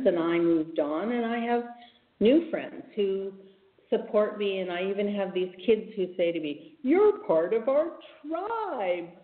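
A woman speaking as a guest healer in a casual interview, telling her story earnestly and reflectively, with restarts. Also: lowish voice. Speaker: podcast guest, spiritual healer. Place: home in a village, remote call. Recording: echoey, phone-like remote line.

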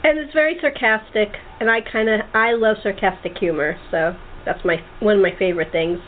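Female host, informal and chatty interview talk, mid pitch, narrow telephone sound with some noise. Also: a little fast. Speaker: host, playwright and director. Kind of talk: casual cast interview. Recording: tinny phone line, clear background noise.

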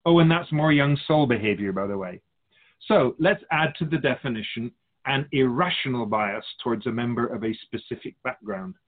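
A man's voice: medium pitch, moderate pace, narrow, tinny audio, lightly acted. A man playing a wise, wry otherworldly spirit guide in a radio drama, teaching in formal, didactic phrases. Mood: measured, instructive. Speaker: spirit teacher answering questions. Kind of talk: scripted radio drama dialogue, expository.

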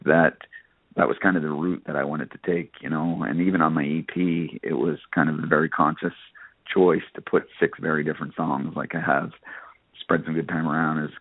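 Man, very deep pitch, dry phone-like radio sound, casual hesitant interview answers. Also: no room sound. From Nova Scotia, Canada. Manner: hesitant, conversational, peppered with "you know". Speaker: interview guest, country singer and guitarist. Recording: narrowband phone-like radio line.

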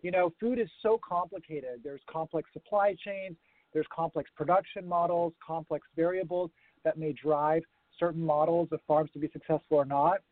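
A man's voice: fairly high pitch, steady tone, normal speed, no room sound. A man with an American-sounding accent, a guest in a remote interview, explaining things thoughtfully with some fillers. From grew up around the world. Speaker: guest, urban farming consultant. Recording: tinny phone line.

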